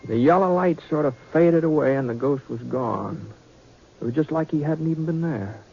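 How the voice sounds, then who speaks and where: An actor playing a folksy western yarn-spinner, narrating with dramatic pauses in old-time radio style. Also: male voice, a little high, vocal fry, slightly rough. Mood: hushed wonder. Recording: dull vintage radio recording.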